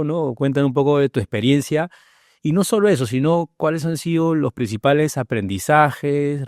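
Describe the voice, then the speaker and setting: A man's voice: mid-range voice, darkish tone, no echo. Talkative male podcast host, dry sound. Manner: rambling questions with many "¿no?" tags. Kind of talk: podcast interview.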